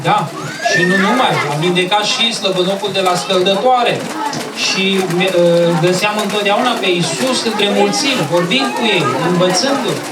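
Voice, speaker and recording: A woman hosting a children's event, telling a story didactically, repeating phrases and checking that listeners follow. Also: medium pitch. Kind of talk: live Bible storytelling to children. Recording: echoey, distant, very noisy crowd recording.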